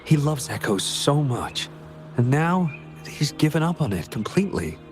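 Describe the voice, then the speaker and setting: A man's voice: a little high, sing-song, moderate pace, soft background music. A man voicing an earnest, caring young male researcher in a video game. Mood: worried, pleading. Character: earnest young male researcher worried for an estranged childhood friend.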